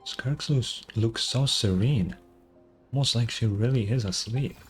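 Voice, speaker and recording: A man's voice: mid-range voice, a little slow, slightly rough, soft background music. A man streaming a game, reading its dialogue aloud and adding casual commentary.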